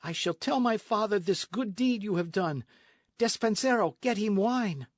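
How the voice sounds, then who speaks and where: A man's voice: high voice, animated, normal speed, no echo, voice pushed up. A man reading aloud and voicing a young noble lady who speaks in an ardent, breathless way. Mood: grateful. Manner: breathless, impassioned.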